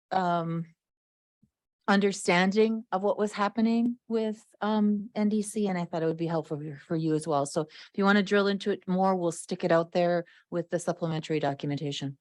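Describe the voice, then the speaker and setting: Brief: woman, county official, lowish voice, dry close sound, chatty explanation with "um" pauses. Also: dry recording. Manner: conversational, restarts and "um" pauses. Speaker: county official presenting to the board. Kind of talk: explaining a document at a public meeting.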